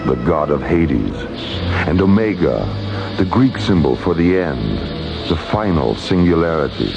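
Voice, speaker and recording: An actor as a grave, ominous narrator of a space radio drama, in a documentary style. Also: male voice, very deep voice, sing-song, unhurried, gravelly, heavily acted. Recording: dull radio recording, loud music.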